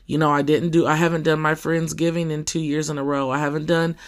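A podcaster with a scratchy, somewhat deep voice, talking in a casual personal monologue full of fillers and restarts. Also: little pitch movement. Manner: halting, with many fillers and restarts.

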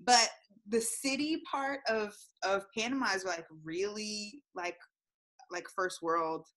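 A woman's voice: mid-range voice, slow pace, a bit bright. A young adult woman teacher from Florida, chatting casually in an interview, laughing often and filling with "like". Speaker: teacher, guest.

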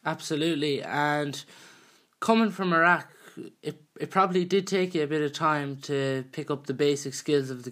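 A man's voice: mid-range voice, fairly bright tone, smooth. A man hosting an interview, putting prepared questions to a guest. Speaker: show host, interviewer.

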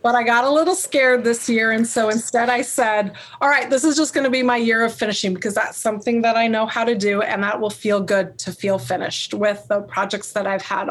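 A woman artist and color teacher being interviewed, talking loudly and passionately and laughing often. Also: mid-range voice. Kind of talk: podcast interview chat.

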